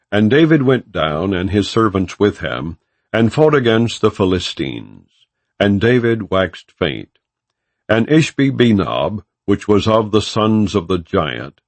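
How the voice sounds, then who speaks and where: A man reading scripture as an audiobook narrator, in a measured, solemn and formal delivery. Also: fairly low pitch, creaky, dry recording.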